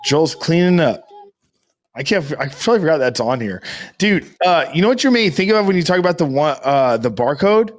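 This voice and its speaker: A man's voice: fairly high pitch, big pitch swings, fairly quick. A man in his thirties co-hosting a casual podcast, talking in profane slang and jumping in often. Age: 30s. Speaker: podcast co-host. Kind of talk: casual chat.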